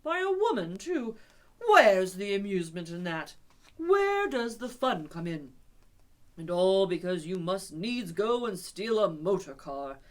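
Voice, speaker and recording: A woman's voice: medium pitch, sing-song, measured pace. A woman voicing a stern, earnest male water rat who scolds his friend emphatically. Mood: scolding.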